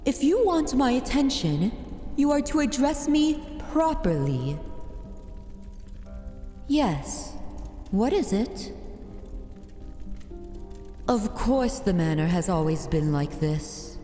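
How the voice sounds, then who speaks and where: Woman voicing a haughty vampire lady, dominant, slow deliberate delivery, mellow tone, archaic fantasy ASMR roleplay. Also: on the high side, sing-song, faint music behind. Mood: haughty, composed. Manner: imperious, archaic formal speech, deliberate and commanding. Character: haughty, dominant aristocratic vampire mistress of a manor.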